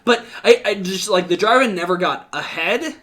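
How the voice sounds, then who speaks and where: A man hosting a casual esports podcast, talking quickly with restarts and the odd swear word. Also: high-pitched, bright, forceful tone. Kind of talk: casual esports analysis chat.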